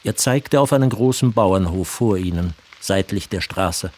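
A man's voice: low-pitched, a little slow, a little mellow. A man narrating a literary radio play as storyteller.

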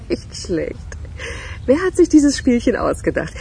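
Dark-toned, wryly self-possessed woman. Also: on the high side, big pitch swings, slow, gravelly, a little hiss or hum, exaggerated voice. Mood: amused, teasing. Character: self-possessed, teasing woman, dryly ironic and inquisitive. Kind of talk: German radio crime drama acting.

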